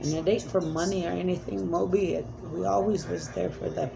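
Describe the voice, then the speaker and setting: A woman's voice: fairly low pitch, fairly even intonation, fairly quick, gravelly, crowd noise. A woman speaking as a self-described spirit medium in a rambling, emphatic monologue, pleading and repeating commands.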